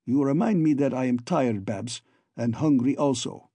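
A huge male scientist talks down to his tiny captive in an even, patronizing tone, voiced by a male reader. The voice is mellow and husky. It is recorded dry and close for a storybook. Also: medium pitch, normal speed, no room sound. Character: giant calm male scientist captor.

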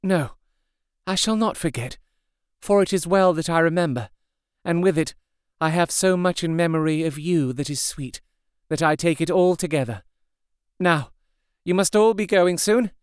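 A man's voice: a little high, normal speed, smooth, no echo, studio quality, pitched above the performer's own voice, lightly acted. A man voicing a resolute young wife. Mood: tender, firm. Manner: earnest, composed, later wailing in anguish. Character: brave resolute young woman, devoted wife.

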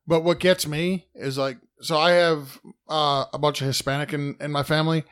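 A man co-hosting a podcast, loud by his own account, reading out listener questions and arguing back. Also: a little high, level tone, normal speed, clean tone. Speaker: podcast co-host. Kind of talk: casual podcast banter.

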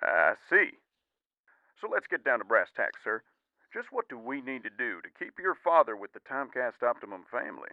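Slightly muffled, as over a phone, comes a smooth male cable manager. He is polite, unflappable and always selling, with lively intonation. The style is comic audio drama. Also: medium pitch, normal speed, no room sound. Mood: salesy politeness. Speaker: customer service manager. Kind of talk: comedic audio drama acting.

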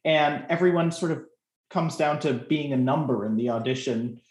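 A man interviewing a guest, thoughtful and halting as he frames his questions. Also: a little high, steady tone. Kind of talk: conversational interview. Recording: echoey room.

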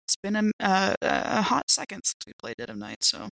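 A woman's voice: medium pitch, big pitch swings, normal speed, gravelly, a bit bright, no echo, low-quality recording. A woman chatting casually and playfully as a player at a tabletop game.

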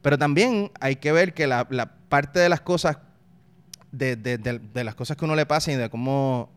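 A Puerto Rican man in his thirties speaks as an interview guest, easygoing and thoughtful. His voice is smooth and resonant, at an ordinary male pitch. He often stops and restarts, repeating words while he finds what he means. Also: moderate pace, dry recording. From Puerto Rico. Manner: uses local slang. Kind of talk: casual conversational interview.

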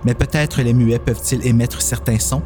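A man's voice: medium pitch, fairly even intonation, normal speed, music in the background. A man giving a scripted narration of a horror story.

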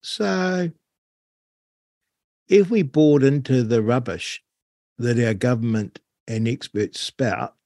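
A man's voice: medium pitch, slow pace, pauses often, warm tone, no echo, studio quality. Wry New male talk host. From New Zealand. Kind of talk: casual interview chat.

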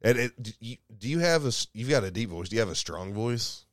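A soft-spoken man co-hosting a casual podcast chat, rambling with lots of restarts and quick laughs. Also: medium pitch, lively intonation, no echo, studio quality. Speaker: podcast co-host. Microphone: studio mic.

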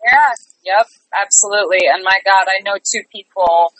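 A woman's voice: medium pitch, normal speed, a bit bright, no echo, poor audio. A woman speaking as a guest in a conversational interview, earnest and emphatic in the way she talks. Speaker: interview guest, theatre artistic director.

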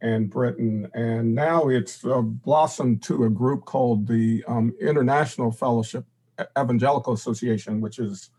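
An older man, a podcast guest, explaining in a halting conversational way and pausing to search for words. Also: mid-range voice, fairly even intonation, mellow, husky, poor audio.

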